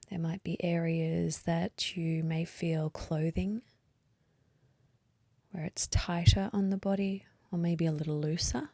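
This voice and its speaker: A woman leading a guided meditation in a soft, breathy voice, gently and unhurriedly, with long pauses. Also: fairly low pitch, steady tone, no echo. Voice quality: soft, breathy, partly whispery. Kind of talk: guided meditation, slow calm instruction.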